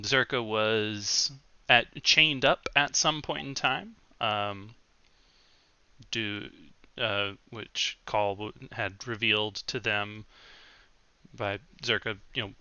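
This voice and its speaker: A man with a low, very bright voice that comes across clear and smooth, recapping a tabletop campaign as game master. He talks loosely, with frequent ums and restarts. The sound is dry but dull and lo-fi. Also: no echo. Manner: loose recap with many ums and self-corrections.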